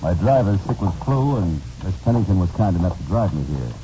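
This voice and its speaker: A man acting in a 1940s radio drama as a kindly, stoic army major, calm, plain-spoken and gently blunt. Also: low-pitched, brisk. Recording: muffled, noisy 1940s radio recording.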